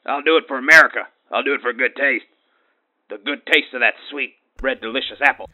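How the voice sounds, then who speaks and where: A man's voice: mid-range voice, a little fast, sharp tone. A man playing a bigoted, blustering middle-aged radio caller who rants and threatens, heard over a dull lo-fi line. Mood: ranting. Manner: ranting, threatening, pompous.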